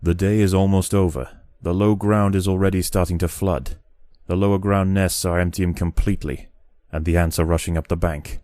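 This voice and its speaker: Very deep, mellow male documentary narrator. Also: steady tone, dry recording, studio quality. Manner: measured, grave, dramatic. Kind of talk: scripted nature-documentary style game narration.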